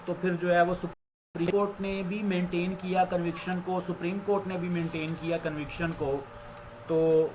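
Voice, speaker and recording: A man with a Pakistani accent giving an explanatory law lecture, deliberate and given to restating his phrases. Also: on the high side. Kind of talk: explanatory law lecture mixing Urdu with English legal terms. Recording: faint background noise.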